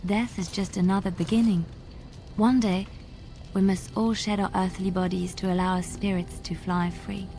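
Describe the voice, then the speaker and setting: An actress playing a gentle, devout bard in a video game, speaking softly, earnestly and reflectively. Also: female voice, medium pitch, little pitch movement, moderate pace, faint music behind, close to an everyday voice. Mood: wistful, tender. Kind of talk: dramatic video game dialogue.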